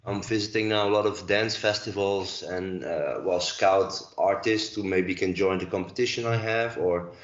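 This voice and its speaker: A Dutch-accented man in his forties talks through a dull, lo-fi call from a roomy, echoing space at home. His voice sits fairly low, and he thinks aloud with many ums and restarts. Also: moderate pace, hardly any pauses. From Netherlands. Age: 40s. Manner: hesitant, many fillers and restarts. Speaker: guest, dancer and choreographer. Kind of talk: casual interview conversation.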